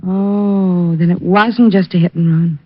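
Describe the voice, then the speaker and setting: An actress playing a detective's excitable, wide-eyed sweetheart in an old radio drama, full of amazement and eager questions. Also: female voice, fairly low pitch, unhurried, dry recording. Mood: concerned. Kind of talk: 1940s radio drama acting. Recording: dull vintage radio recording.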